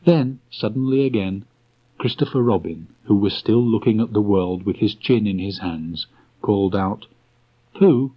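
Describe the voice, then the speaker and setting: A man narrating a storybook as an audiobook reader, in a measured, unhurried manner. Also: lowish voice. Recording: dull restored cassette tape.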